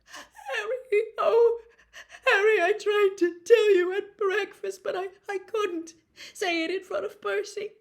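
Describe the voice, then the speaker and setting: A woman voicing a frightened, guilt-ridden young schoolgirl in a storybook, crying and wailing in halting gasps. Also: very high-pitched, fairly even intonation, pitched above the performer's own voice. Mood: sobbing, panicked. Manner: tearful, gasping, halting wailing delivery.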